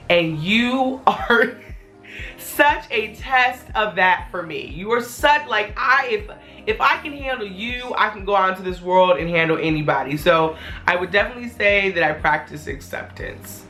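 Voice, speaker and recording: Hoarse, upbeat young woman vlogging. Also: on the low side, fairly bright tone. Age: 20s. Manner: chatty, candid, swears casually. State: hoarse, voice not fully back. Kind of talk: casual talking-head vlog.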